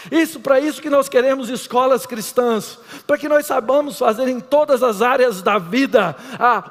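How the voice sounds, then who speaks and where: A middle-aged Brazilian man with a Brazilian Portuguese accent, preaching an emphatic lecture-style sermon that swings between loud and hushed. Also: very high-pitched. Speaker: pastor. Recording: reverberant hall.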